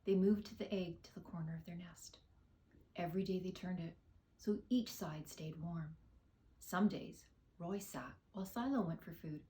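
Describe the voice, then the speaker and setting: A woman reads a storybook for children. Her voice sits a little low and has a dark, relaxed tone. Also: moderate pace. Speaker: school staff member reading aloud. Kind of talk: children's storybook read-aloud.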